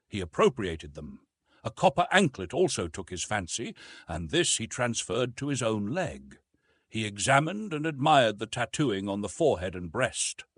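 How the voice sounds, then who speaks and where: Expressive male audiobook narrator, slightly husky. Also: mid-range voice, no echo. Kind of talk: scripted narration of a classic adventure novel.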